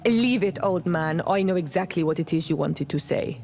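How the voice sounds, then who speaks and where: A woman's voice: lowish voice, normal speed, a little mellow, faint music behind, lightly acted. An actress playing a proud, blunt native island woman in a video game, speaking frankly. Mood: scornful. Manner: frank, pointed.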